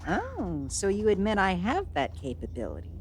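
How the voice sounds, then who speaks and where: A woman's voice: medium pitch, wildly swooping pitch, moderate pace, a little hiss or hum. A woman playing a proud, youthful immortal villain sorceress in a fantasy audio roleplay, theatrical, haughty and easily put on the defensive. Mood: boastful.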